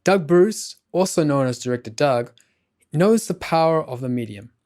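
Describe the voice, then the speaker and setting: A man's voice: a little high, animated, moderate pace, a little mellow. A man hosting a podcast, reading scripted narration.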